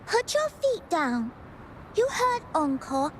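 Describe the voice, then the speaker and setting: A woman's voice: very high voice, big pitch swings, slow pace, a little hiss or hum, full character voice. A woman playing an earnest, bossy small child with an insistent manner in a video game. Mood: scolding. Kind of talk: video game character acting.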